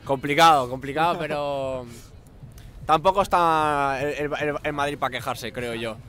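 A man's voice: fairly high pitch, moderate pace, pushed and bright, soft background music. A man in his twenties answering casually in a street interview, outdoors with a handheld microphone. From United States. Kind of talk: casual chat. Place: outdoors on a city bridge.

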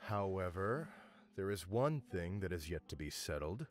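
A man's voice: sits low, sing-song, moderate pace, over music. A man voicing a stern, formal middle-aged male academic supervisor in a video game. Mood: businesslike.

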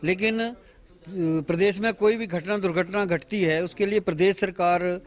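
A man in his fifties, a party official, giving a halting press statement to reporters with many pauses and restarts, speaking into a bundle of handheld mics outdoors at a bus station. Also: high voice, fairly even intonation. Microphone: bundle of handheld news mics.

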